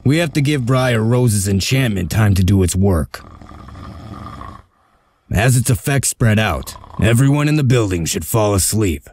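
A man's voice: fairly low pitch, animated, unhurried, darkish tone, breathy edge, full character voice. A man voicing a stern, shrewd leader of a covert break-in in a comic dub, giving curt, authoritative orders. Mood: patient, curt.

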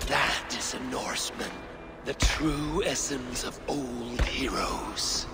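Casting: brooding old god for a game, mid-pitched, oracular, heavy music under him. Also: male voice, creaky. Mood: grave. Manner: grave, oracular, measured. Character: solemn old Norse god, a brooding phantom counsellor. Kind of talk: dramatic video game acting.

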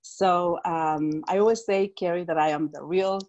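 Woman, Mexican Spanish accent, middle-aged, lowish voice, enthusiastic, laughs often, video call earphone mic. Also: steady tone, moderate pace, darkish tone, muffled recording. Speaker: direct sales executive.

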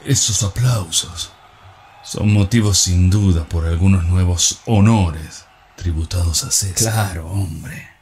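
A man's voice: fairly low pitch, a little mellow, slight background noise, lightly acted. A man playing a bitter, sneering Roman conspirator. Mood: scornful. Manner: declamatory, sneering, mocking. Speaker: stage actor. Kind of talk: theatrical monologue.

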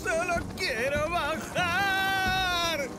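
A man's voice: very high-pitched, drawn-out words, exaggerated voice. A man playing a timid, clumsy young firefighter in a cartoon dub, speaking in a hesitant, dramatic way. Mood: panicked. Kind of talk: dubbed children's cartoon acting. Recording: loud music behind the voice.

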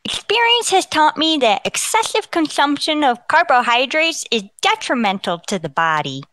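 A woman as a bookish little boy, radio comedy, high and animated, pedantic, formal. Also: moderate pace, no echo. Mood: earnest, pedantic. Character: precocious, bookish, literal-minded young nephew boy. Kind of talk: old-time radio comedy acting.

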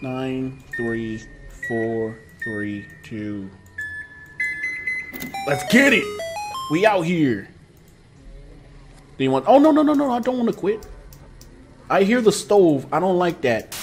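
A man's voice: a little high, big pitch swings, slow, pauses often, music in the background. A young man streaming a horror game live, talking nervously to his chat with sudden yelps and laughs. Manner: jumpy, nervous, bursts of loud yelps and laughter. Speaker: gaming streamer. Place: small room with posters behind.

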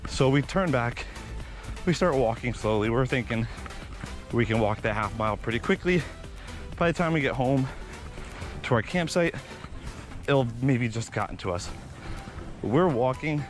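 A young man vlogging outdoors on a beach, telling animated stories while running, slightly out of breath, into a body-mounted camera. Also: medium pitch, normal speed, long pauses, soft background music. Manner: animated, excitable storytelling with sound effects. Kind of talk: casual storytelling while jogging. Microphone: body-mounted action camera.

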